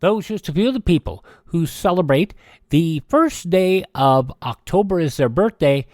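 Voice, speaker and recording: A male radio host with a slightly high voice, recorded close and dry. He talks casually, wandering through his script and correcting himself along the way. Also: animated, normal speed, darkish tone, no echo. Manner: rambling, self-correcting. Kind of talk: casual radio host chat reading celebrity birthdays and introducing old radio shows.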